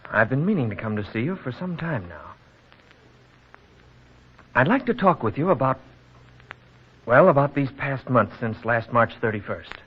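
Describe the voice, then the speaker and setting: A man's voice: medium pitch, big pitch swings, moderate pace, pauses often, breathy edge. A man playing a troubled, earnest young townsman with a formal manner in a 1940s radio drama. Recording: muffled, noisy vintage radio recording.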